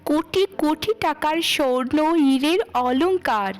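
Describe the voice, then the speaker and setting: Woman acting a haughty, high-voiced spoiled young sister. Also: a little slow. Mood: smug, dreamy. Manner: haughty, scolding, self-admiring. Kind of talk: children's moral cartoon dialogue.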